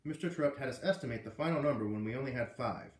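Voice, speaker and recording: A man's voice: medium pitch, quick pace. A man in his thirties reading a storybook aloud casually in a living room, recorded by a camera a few feet away. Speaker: read-aloud host. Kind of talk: casual storybook read-aloud with chatty asides. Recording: roomy, echoey home recording.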